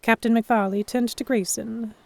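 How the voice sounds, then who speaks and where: A woman narrating a first-person historical romance story with careful, expressive, scripted delivery. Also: a little high, moderate pace, creaky, no room sound. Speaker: narrator and lead heroine.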